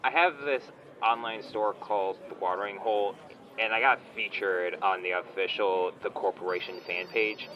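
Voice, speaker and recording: A man's voice: fairly low pitch, normal speed, close to an everyday voice. A man playing a smug, entitled online shop owner on a phone call in a comedy audio drama, whiny, condescending and petulant in casual slang. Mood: irritated, whiny. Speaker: caller, complaining customer.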